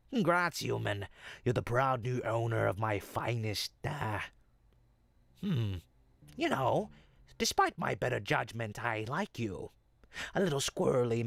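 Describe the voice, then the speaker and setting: A performer voicing a sly, smooth-talking goblin potion peddler in an audio roleplay, with folksy, teasing salesman patter. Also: mid-range voice, no room sound, studio quality, heavily acted. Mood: haggling, bluffing, then confiding, curious. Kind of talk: audio roleplay, in-character monologue to listener.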